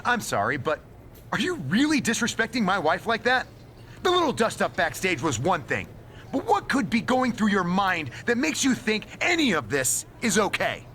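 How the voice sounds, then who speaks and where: A blustering male wrestler, confronts his rival in a high, forceful voice. His theatrical, indignant delivery swings wildly up and down, in video game style. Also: wildly swooping pitch, normal speed, slight background noise, heavily acted. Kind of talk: scripted video game cutscene acting.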